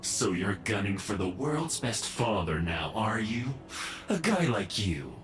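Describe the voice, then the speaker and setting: Slow, sneering, bullying henchman. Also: lowish voice, sing-song, soft background music. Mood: mocking. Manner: sneering, sarcastic. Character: scornful, bullying male henchman. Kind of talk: video game voice acting.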